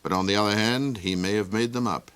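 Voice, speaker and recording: A man voicing a calm, knowing servant who speaks in a dry, matter-of-fact way. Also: fairly low pitch, fairly quick, smooth, lightly acted. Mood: wry.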